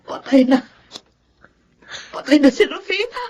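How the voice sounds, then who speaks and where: A woman's voice: high voice, big pitch swings, darkish tone, a little hiss or hum. An actress playing a spiteful, theatrical mother and neighbour in a Filipino radio-style melodrama, with a Filipino accent and pointed delivery. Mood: stunned grief. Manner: exaggerated, drawn-out, pointed.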